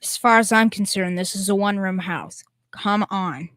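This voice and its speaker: A woman's voice: mid-range voice, normal speed, a bit bright, no echo, studio quality, lightly acted. A young female performer playing a blustering, cowardly middle-aged father in old-time radio comedy style, folksy, wisecracking and ungrammatical. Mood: stubborn bluster. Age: teenager.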